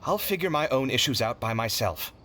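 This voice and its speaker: A man playing a proud, generous young man in an anime video game, casual and theatrically expressive. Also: medium pitch, brisk, a little hiss or hum, natural voice. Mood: dismissive.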